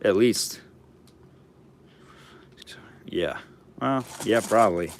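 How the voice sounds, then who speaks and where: A man running a live online auction, calling bids and chatting loosely about the items, his throat getting hoarse. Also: on the low side, expressive, extremely slow, a little hiss or hum. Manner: chatty, rambling asides between bid calls.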